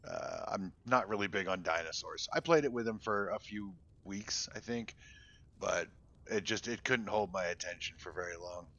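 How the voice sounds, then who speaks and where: A low-pitched man talking loosely to his chat while he plays, sometimes trailing into mutters. The audio is dull and a bit lo-fi, like a home stream mic. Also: moderate pace, a bit bright. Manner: rambling, talks to chat while playing, drops into mutters. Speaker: game streamer. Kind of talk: casual live-stream chat.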